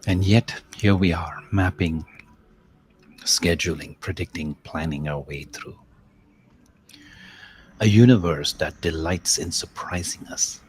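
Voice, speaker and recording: A man leading a guided meditation as host, speaking in a measured, contemplative way with long pauses and softly trailing phrases. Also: sits low, a little hiss or hum, poor audio. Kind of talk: slow guided meditation, spoken-word reflection with verse.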